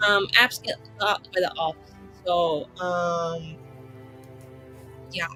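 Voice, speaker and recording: A woman's voice: lowish voice, steady tone, slow pace, bright, forceful tone, soft background music. Playful woman in casual tabletop roleplay.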